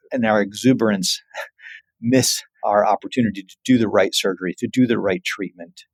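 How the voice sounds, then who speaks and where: A man speaking as a physician researcher in an interview, earnest and emphatic while explaining findings in measured phrases. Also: lowish voice, a little mellow, dry recording, low-quality recording. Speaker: physician researcher, podcast guest.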